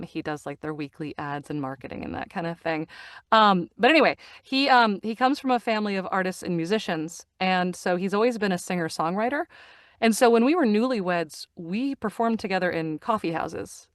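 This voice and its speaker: A woman's voice: on the low side, smooth, dry recording, studio quality. A middle-aged woman chatting as an interview guest, telling stories with many fillers and restarts. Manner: chatty, animated storyteller with frequent fillers and laughs. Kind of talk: casual interview chat.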